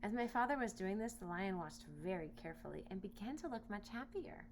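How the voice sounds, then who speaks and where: A woman reading a children's storybook aloud in expressive narration. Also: medium pitch, a little fast. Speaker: storybook reader. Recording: noisy home recording with hum.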